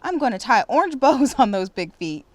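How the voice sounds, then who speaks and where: A woman's voice: on the high side, sing-song, normal speed, dry recording, pitched above the performer's own voice. A woman reading the lines of a ballet teacher in a children's storybook. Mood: announcing.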